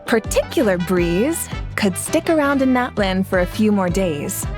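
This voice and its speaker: A woman's voice: mid-range voice, very animated, measured pace, music in the background. A woman playing a hearty, friendly tribeswoman in a video game, talking in a breezy, good-natured, familiar way. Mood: friendly, relaxed.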